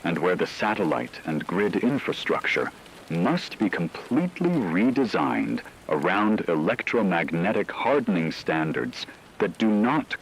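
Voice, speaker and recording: A man playing a grave scientific expert, giving a measured, formal, technical briefing in a scripted radio drama about a disaster. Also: fairly low pitch, gravelly, husky, exaggerated voice, poor audio. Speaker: radio broadcast expert commentator.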